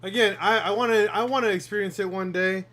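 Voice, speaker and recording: Man, thirties, high voice, relaxed rambling chat, laughs a lot. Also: brisk. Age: 30s. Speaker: garage channel host. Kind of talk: casual live Q&A chat.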